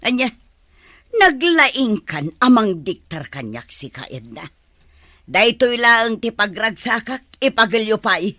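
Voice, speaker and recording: A woman's voice: a little high, big pitch swings, unhurried, sharp tone, no room sound, studio quality, exaggerated voice. An actress playing an irritable, carefree older mother in an Ilocano radio drama, brash and complaining. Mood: defiant.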